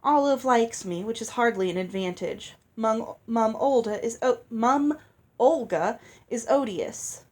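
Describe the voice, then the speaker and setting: A woman's voice: a little high, expressive, unhurried, a little mellow. A woman voicing a resentful, guarded teenage girl in a storybook, speaking in a terse, direct way. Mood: confiding, aggrieved. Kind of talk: audiobook character voice.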